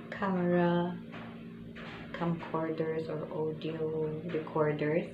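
A young Filipino woman with a soft, quiet voice, chatting casually through a microphone review in a small bedroom and switching between English and Tagalog. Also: low voice, reverberant, highs cut off. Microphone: phone camera, later a small clip-on mic.

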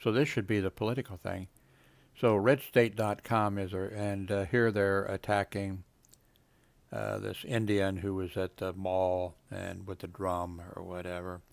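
A man's voice: deep voice, level tone, unhurried, creaky, no room sound. A man in his seventies reading web pages aloud at his home computer and wandering off into casual asides full of fillers. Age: 70s. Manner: meandering, many fillers and trailing asides. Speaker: video blogger.

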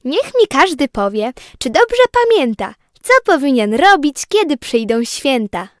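Bossy, excitable angel child for a rhymed audio play female actor, high voice, deliberate pace, dry studio sound. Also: dry recording. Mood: instructing. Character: bossy, excited little angel rousing the others.

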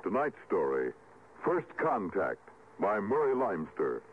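Male narrator, low pitch, portentous, solemn, 1950s radio drama, thin telephone-like sound. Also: huge pitch swings, a little slow, a little hiss or hum. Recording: tinny vintage radio recording. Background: music.

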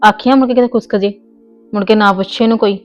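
A woman's voice: mid-range voice, steady tone, fast talker, faint music behind, lightly acted. A woman playing a stubborn young wife in a family skit, scolding and insistent. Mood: soothing, scolding. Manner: scolding, insistent, voice rising in argument. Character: young married woman, stubborn, quick to argue. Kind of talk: scripted family comedy skit.

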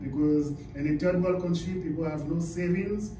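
A man's voice: a little high, moderate pace, noisy background. A man from Haiti speaking to a room as a seminar speaker, giving a motivational business talk and putting questions to the audience. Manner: emphatic, asks the audience questions. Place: carpeted indoor meeting room. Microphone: far camera, no visible mic. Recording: echoey room, camera far off.

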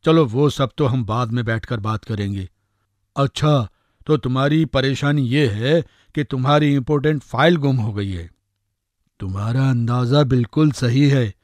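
A man's voice: medium pitch, measured pace, a little mellow, dry recording, lightly acted. Man voicing a confident magic talking eggplant, dry sound. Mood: chummy, confident. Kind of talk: animated moral story voice acting.

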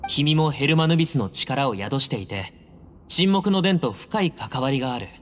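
A man's voice: medium pitch, expressive, moderate pace, close to an everyday voice. An actor playing a guarded, self-assured young male temple heir in a Japanese anime game, speaking in a cool, firm, composed manner. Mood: solemn. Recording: reverberant hall, music underneath.

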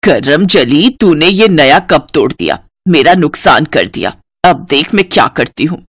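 A woman's voice: low-pitched, animated, a little slow, slightly rough, no echo, studio quality, exaggerated voice. A woman voicing a harsh older stepmother who nags and scolds in a cartoon story. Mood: scolding. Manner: sharp-tongued, nagging.

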